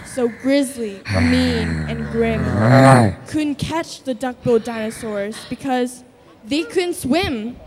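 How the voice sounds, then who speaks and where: Slow, high-voiced woman storyteller. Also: darkish tone. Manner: deliberate, dramatic storytelling. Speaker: narrator. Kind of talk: scripted children's story narration on stage.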